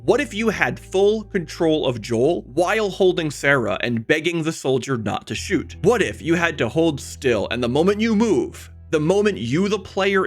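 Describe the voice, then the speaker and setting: A man's voice: fairly high pitch, a bit bright. A man delivering a scripted video essay about games, with emphatic, opinionated, conversational phrasing and casual asides.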